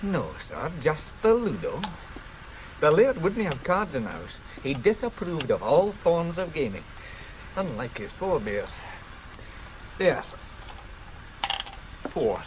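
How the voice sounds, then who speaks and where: Animated Scottish police sergeant. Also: male voice, a little high, takes it slowly, pauses often, slight background noise, rough recording. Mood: wry, confiding. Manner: garrulous storyteller. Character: Scottish police sergeant, relishing old local tales. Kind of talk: radio drama acting.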